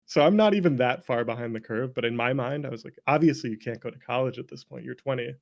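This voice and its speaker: A North American man around thirty with a creaky, fry-edged voice at an ordinary pitch. He tells stories in a loose, conversational way, self-deprecating and quick to laugh, with casual swearing. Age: late 20s to early 30s. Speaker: interview guest, game developer.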